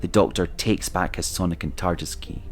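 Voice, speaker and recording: Low-voiced man narrating over music. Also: steady tone, moderate pace. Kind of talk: scripted narration.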